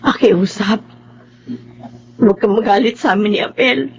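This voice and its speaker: A woman playing a patient, forgiving middle-aged mother in a radio drama, sorrowful and gently pleading. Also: medium pitch, normal speed, slight background noise, close to an everyday voice. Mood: pleading, grieving.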